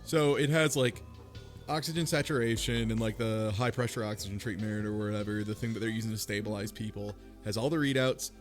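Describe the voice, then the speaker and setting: A man's voice: lowish voice, steady tone, a little fast, soft background music. A man in casual podcast chat, laid-back, explaining game events at length. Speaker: podcast co-host. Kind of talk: casual chat recapping a visual novel.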